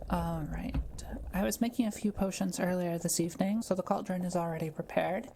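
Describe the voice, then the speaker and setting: A woman playing a kind, quiet forest witch in an audio roleplay, speaking gently and patiently as she explains things to the listener. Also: lowish voice, steady tone, a little fast, vocal fry, background noise. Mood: focused, explanatory. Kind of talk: soft-spoken audio roleplay addressed to the listener.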